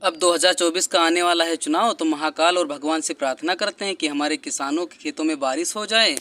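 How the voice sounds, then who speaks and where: A man's voice: on the high side, brisk, bright, forceful tone. A man delivering scripted, rhyming satirical narration in a mocking manner. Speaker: narrator of political troll video.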